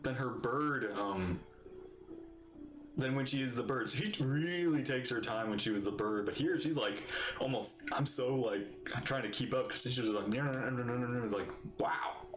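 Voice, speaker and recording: A man giving excitable, playful, casual reaction commentary as a game streamer. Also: medium pitch, normal speed. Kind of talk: casual gameplay reaction commentary, reading lines aloud. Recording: roomy echo. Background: game music.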